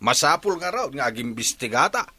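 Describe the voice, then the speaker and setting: A man's voice: mid-range voice, very animated, brisk, pushed and bright, breathy edge, no echo, heavily acted. A man playing a wary, questioning middle-aged village father in a radio drama, with an Ilocano Filipino accent. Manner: questioning, emphatic.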